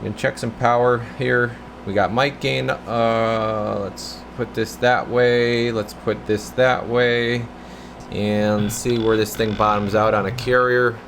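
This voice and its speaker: A man's voice: mid-range voice, level tone, takes it slowly, gravelly, fairly bright tone, slight background noise. A man hosting an informal hands-on demonstration, talking viewers through each step as he works, sometimes half muttering to himself. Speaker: host of a radio repair and test video channel. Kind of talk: casual hands-on demonstration, talking while working.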